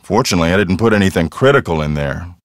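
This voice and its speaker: A man's voice: low-pitched, expressive, fast, no echo, clean studio sound. A man playing a cocky, earnest TV ghost hunter in a video game, explaining things in a theatrical, self-assured way.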